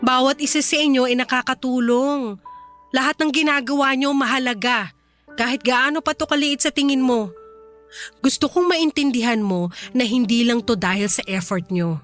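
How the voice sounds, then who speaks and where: A woman's voice: high-pitched, moderate pace, fairly bright tone, faint music behind. A woman acting a kind, reassuring company boss in a Filipino radio drama, earnest and composed, with a Filipino accent. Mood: earnest, appreciative.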